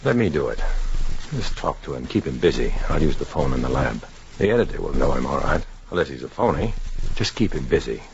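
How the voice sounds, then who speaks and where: An actor playing a guarded undercover agent in a 1950s radio drama, terse and hardboiled in narration and dialogue. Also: male voice, rumbling low, big pitch swings, brisk, noisy background, full character voice. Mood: guarded, smooth. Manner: terse, clipped, hardboiled delivery. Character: undercover agent posing as magazine reporter, guarded, urgent man. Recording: muffled 1950s radio recording, music behind.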